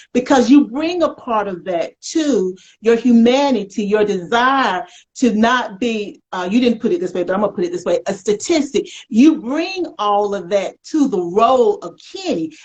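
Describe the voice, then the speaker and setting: An American woman hosting a conversational interview in an animated, folksy, affirming way. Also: fairly high pitch, breathy edge. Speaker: talk show host. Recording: dull lo-fi web call audio.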